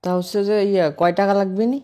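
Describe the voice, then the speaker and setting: A man's voice: sits high, little pitch movement, quick pace, warm tone. A Bangladeshi man speaking hesitantly and unassumingly in an informal phone conversation.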